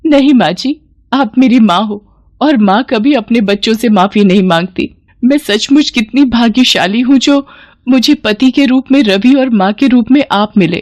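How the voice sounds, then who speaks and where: Woman playing a high-voiced, meek young daughter-in-law. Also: normal speed, darkish tone, lightly acted. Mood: grateful. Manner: timid, deferential, earnest. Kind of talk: animated moral story voice acting.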